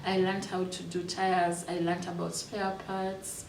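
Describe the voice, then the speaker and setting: A Kenyan woman in her thirties telling her life story in a reflective, unhurried way, with fillers and restarts. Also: lowish voice, completely flat delivery, vocal fry, a little mellow, distant mic, a little hiss or hum. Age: 30s. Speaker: interviewee. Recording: echoey room, voice from off-mic.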